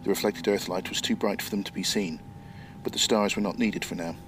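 A man's voice: deep voice, steady tone, fairly quick, a bit bright, faint music behind. A middle-aged man from Northern Ireland, speaking as a writer and podcast guest. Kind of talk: interview answers and reading own short story.

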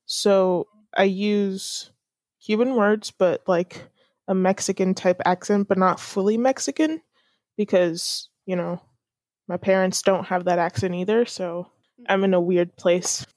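A woman's voice: mid-range voice, fairly even intonation, measured pace, darkish tone, no room sound, studio quality. A young woman chatting casually with a friend, thinking aloud with many fillers and laughing often. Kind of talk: casual chat.

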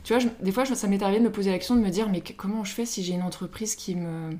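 A woman's voice: medium pitch, rapid-fire, mellow. A woman from Paris with a French accent, speaking as an interview guest in a casual, chatty way and often quoting herself. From Paris, France. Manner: informal, chatty, self-quoting. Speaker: interview guest, social media influencer.

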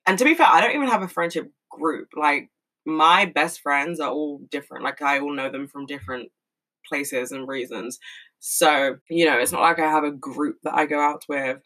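A woman in her twenties talking casually and frankly, swearing a lot and rambling with many fillers, in a fairly monotonous voice. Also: deep voice, normal speed, poor audio. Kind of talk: casual monologue.

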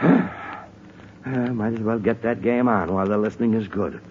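A man's voice: fairly low pitch, unhurried, lightly acted. An actor playing an exasperated, hot-tempered middle-aged father in a blustery, sarcastic 1940s radio comedy style. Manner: blustery, sighing, sarcastic muttering. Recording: muffled, noisy 1940s radio recording.

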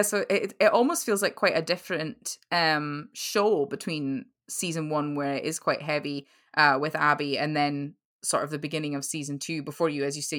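A woman's voice: low-pitched, a bit bright. A young woman chatting casually as a podcast guest, rambling with lots of "like" fillers. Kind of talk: casual chat over a call.

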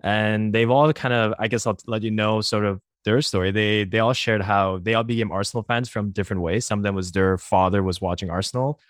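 A man's voice: fairly low pitch, steady tone, brisk, few pauses, dry recording, studio quality. A man hosting a podcast, chatting casually with a guest, laughing easily and using lots of fillers. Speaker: podcast host. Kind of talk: casual interview chat.

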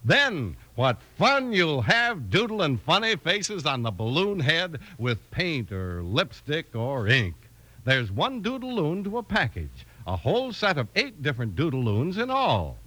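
A man's voice: on the high side, huge pitch swings, a little slow, bright, forceful tone, no room sound. An American man announcing in vintage radio style, with emphatic, enthusiastic scripted delivery.

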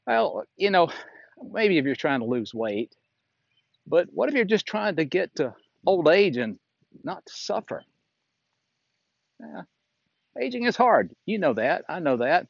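A man in his sixties giving an unscripted, reflective talk as a fitness instructor while walking outdoors, in a halting, folksy way with frequent pauses. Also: a little high, big pitch swings, creaky, no room sound, highs cut off. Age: 60s. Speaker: fitness instructor and podcast host.

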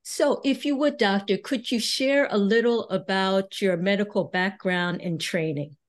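Slow, deliberate woman interviewer. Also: mid-range voice. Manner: deliberate, polite, with um fillers. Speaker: interviewer, host. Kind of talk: interview questions.

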